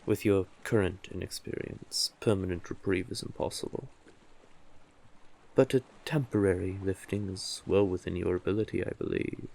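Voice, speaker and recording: A man voicing a cunning, monstrous sea witch who coaxes silkily in slow, theatrical endearments, in an intimate audio roleplay with a gravelly, husky voice. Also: low voice, steady tone, darkish tone, low-quality recording. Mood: reassuring, tempting.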